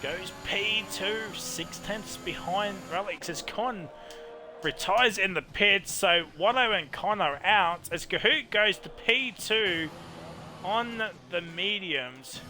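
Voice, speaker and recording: A man commentating a live online racing league, reacting with quick exclamations and chatty asides. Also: high voice, animated, over music. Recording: race game engine noise behind voice.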